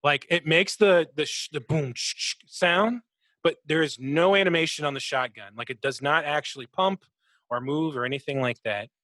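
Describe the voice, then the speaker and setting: A man with a slightly high voice chats casually as a podcast co-host about video games. He swears freely and throws in vocal sound effects. The sound is very dry, with no room around it. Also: normal speed, bright, forceful tone, no echo. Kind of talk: casual gaming chat.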